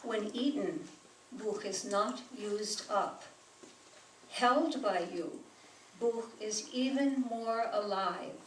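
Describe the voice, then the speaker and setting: A woman poet whose first language is German reads her work at a very slow, deliberate pace, pausing between phrases. Her voice sits in the middle of the range. It is picked up from across a roomy space, with faint noise underneath. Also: medium pitch, distant mic. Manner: slow, measured delivery with pauses. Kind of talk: poetry reading at a live event. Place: bookstore reading room with an audience.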